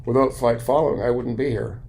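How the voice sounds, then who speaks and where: An older man with an American accent giving a blunt, opinionated monologue in deliberate, broken-up phrases, with a gravelly voice. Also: mid-range voice, fairly even intonation, darkish tone. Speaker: video commentator and private pilot. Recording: light background noise.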